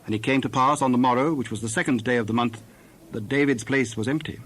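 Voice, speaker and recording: A man narrating scripture from a script in a measured, formal storytelling manner. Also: medium pitch, fairly bright tone.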